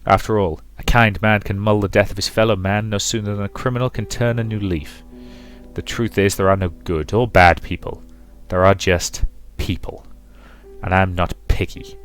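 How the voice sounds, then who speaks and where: A man voicing an ancient, callous male predator who feeds on people, talking in a smooth, condescending, amused way. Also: fairly low pitch, steady tone, moderate pace, faint music behind, close to an everyday voice. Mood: mocking. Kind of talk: visual novel voice reading.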